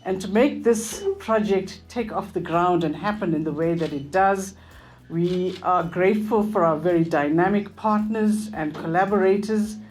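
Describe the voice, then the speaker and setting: A woman, a university dean, giving a measured formal welcome address. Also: on the low side, a little mellow, faint music behind, poor audio. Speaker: university dean and event coordinator.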